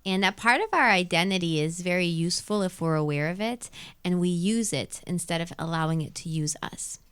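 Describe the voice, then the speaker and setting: A woman's voice: fairly low pitch, a little fast, fairly bright tone, clear, resonant tone. A woman from Montreal whose first language is Arabic, chatting reflectively in a casual interview and repeating phrases for emphasis. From Montreal, Canada. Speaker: radio show host being interviewed. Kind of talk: casual live interview chat. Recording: music playing behind the voice.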